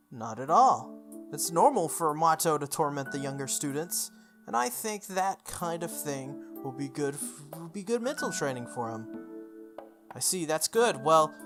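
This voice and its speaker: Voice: mid-range voice, natural voice. A game streamer reading as a polite, composed schoolgirl.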